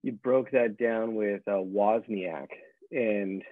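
Low-voiced man on a tinny call. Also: fairly even intonation, takes it slowly, no echo. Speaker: interviewer. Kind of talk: casual interview talk. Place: indoor room on a video call. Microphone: wireless earbuds on a video call. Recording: tinny phone-like video call audio.

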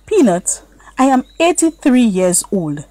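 A woman's voice: on the high side, very animated, unhurried, dark tone, no room sound, voice pushed up. A woman voicing a wise man in his eighties in a children's story, reminiscing solemnly. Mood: reflective. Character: wise, reflective eighty-three-year-old man.

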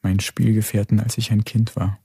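An actor playing a reflective man who recalls the beauty of the earth in a slow poetic radio-play monologue. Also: lowish voice, flat delivery, dark tone, breathy edge, no room sound, clean studio sound. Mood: wistful, reflective.

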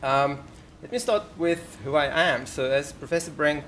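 A man in his forties with a French accent, giving a formal university lecture at a podium microphone in a large lecture hall, earnest and often pausing with ums. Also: fairly high pitch, slight background noise. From France. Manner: earnest, warm asides, frequent ums. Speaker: professor giving inaugural lecture.

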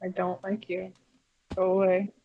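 A woman's voice: mid-range voice, completely flat delivery, unhurried, phone-quality sound, lightly acted. A woman voicing a wary, irritated puppet in an improvised game roleplay, guarded and blunt. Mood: firm, irritated. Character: wary, irritated female puppet, stubbornly refusing her evil double.

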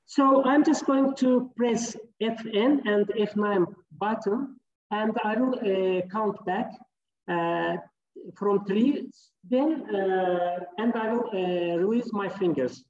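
A woman's voice: mid-range voice, takes it slowly, a little mellow. A woman hosting an online meetup over a video call, speaking hesitantly with many fillers. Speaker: meetup organizer and host. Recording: dull video call audio.

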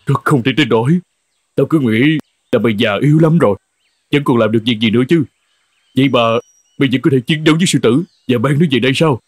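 An actor playing a farmer who owns a horse in an animated fairy tale, theatrical, exclamatory and prone to stammering. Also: male voice, mid-range voice, quick pace, slightly rough, close to an everyday voice. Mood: astonished. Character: old-fashioned male farmer, horse's master, astonished then remorseful.

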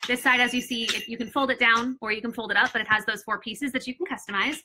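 A woman's voice: fairly high pitch, fairly even intonation, fast talker, bright, forceful tone. A young woman chatting casually to camera as she shows off a baby play gym, upbeat and chatty with lots of fillers, recorded on a living room floor by a camera a few feet away. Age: young adult. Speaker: product reviewer and mother. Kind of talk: casual product demo to camera.

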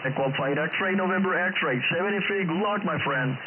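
A man's voice: high-pitched, moderate pace, narrow, tinny audio. A courteous man, an amateur radio operator, trading call signs in casual exchanges over a shortwave radio transmission. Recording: hissy, band-limited shortwave radio signal. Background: radio static hiss.

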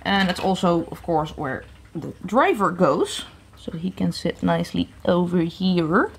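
A woman's voice: fairly low pitch, expressive, measured pace, vocal fry, slight background noise. A woman from the Netherlands chatting casually through a toy review, hesitant with many fillers and restarts. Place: indoor room at a tabletop set.

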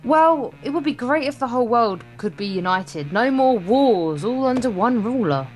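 A woman playing an excitable, ambitious schoolgirl in a visual novel, gushing and earnest. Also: fairly high pitch, normal speed, soft background music, close to an everyday voice. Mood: eager, excited. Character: excitable, ambitious schoolgirl dreaming of world rule.

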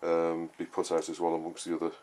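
A man giving a casual, explanatory gardening talk in a halting, unscripted way, as a vlogger would. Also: low voice, monotone, darkish tone. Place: outdoor vegetable garden and greenhouse. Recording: clearly noisy outdoor ambience, uneven distance.

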